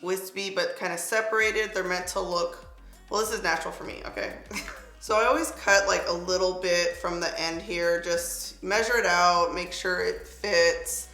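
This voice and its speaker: Bright, raspy-edged young woman vlogger. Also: fairly low pitch, steady tone, moderate pace. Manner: chatty, lots of "like" fillers, upbeat product asides. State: slightly raspy voice. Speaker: beauty vlogger. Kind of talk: casual makeup tutorial chat. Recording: echoey room with faint music.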